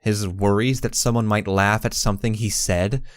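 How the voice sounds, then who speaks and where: Lowish, earnest young pony narrator voiced by a man. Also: steady tone, moderate pace, dry recording, studio quality, lightly acted. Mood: wistful. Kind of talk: first-person story narration.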